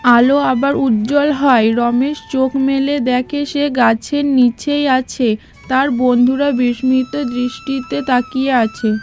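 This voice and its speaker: Female narrator for children's cartoon tale, high pitch, measured slow read, light music bed. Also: steady tone, darkish tone. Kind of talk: scripted storybook narration for a cartoon. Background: background music.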